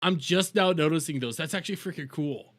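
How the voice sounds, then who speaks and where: A man giving casual live reaction commentary, excitable and quick to swear and exclaim. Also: a little high. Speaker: host reacting to a fan-made music video. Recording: music playing behind the voice. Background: guitar music.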